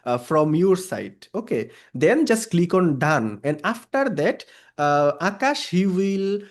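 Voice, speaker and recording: A man with a somewhat high voice and a Bangladeshi accent, pitching a service over a video call. Bengali is his first language, and he speaks English hesitantly, with frequent pauses and fillers. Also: sing-song. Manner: hesitant non-native English with many fillers. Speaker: freelance service provider. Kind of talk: client meeting on video call.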